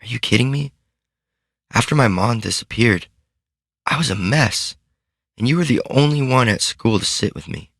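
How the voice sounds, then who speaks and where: A man voicing a kind, loyal young girl who speaks to her friend in a warm, heartfelt way. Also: mid-range voice, animated, moderate pace, gravelly, pauses often, dry recording, studio quality, voice pushed up.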